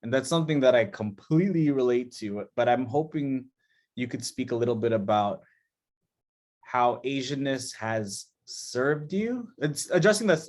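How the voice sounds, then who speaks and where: A man moderating a panel, posing questions thoughtfully and restarting his phrasing. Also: mid-range voice. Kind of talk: panel discussion.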